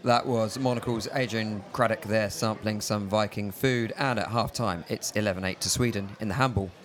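A man hosting a radio show, presenting in a smooth, scripted way. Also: lowish voice, steady tone, moderate pace, fairly bright tone. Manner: smooth, polished delivery. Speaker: radio host.

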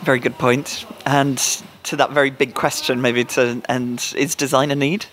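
A man presenting a feature, asking interview questions and reading scripted narration in a measured, polished way. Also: mid-range voice, level tone, a bit bright, slight background noise.

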